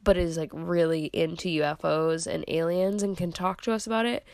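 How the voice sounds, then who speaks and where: A woman's voice: lowish voice, fairly even intonation, moderate pace, vocal fry, darkish tone, no room sound, studio quality. A woman co-hosting a casual podcast, congested from a sinus headache, talking in a chatty, rambling way with lots of fillers. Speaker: podcast co-host. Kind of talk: casual chat.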